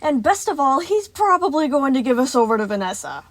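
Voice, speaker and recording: A woman's voice: sits high, big pitch swings, fairly quick, no room sound, voice pushed up. A woman voicing a snarky, fierce female animatronic who snaps and mocks in a story reading. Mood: sarcastic. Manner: snapping, mocking, snarling.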